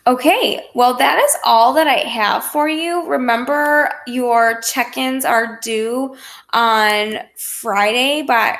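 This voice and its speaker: A woman's voice: on the high side, gravelly, a bit bright. An American woman talking casually and encouragingly as a fitness coach on a group call, in an informal, conversational way. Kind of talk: casual motivational coaching talk.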